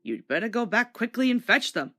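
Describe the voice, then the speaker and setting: A woman's voice: fairly high pitch, big pitch swings, a little fast, bright, forceful tone, heavily acted. A woman voicing a practical, questioning male railway signalman in a storybook.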